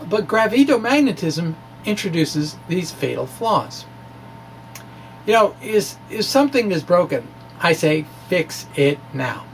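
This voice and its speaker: High-pitched man explaining physics, haltingly. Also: very animated, a little hiss or hum, rough recording. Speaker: amateur physicist presenting his blog. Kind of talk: dramatic reading of a physics blog, explanatory talk.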